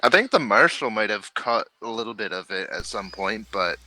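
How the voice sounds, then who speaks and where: Very bright, low-voiced male game master. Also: expressive, normal speed, no echo, poor audio. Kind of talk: casual tabletop game discussion.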